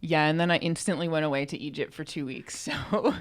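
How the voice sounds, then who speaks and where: Female co-host, low register, informal and playful conversational read with dry jokes. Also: a little fast. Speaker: podcast co-host and writer. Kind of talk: casual chat.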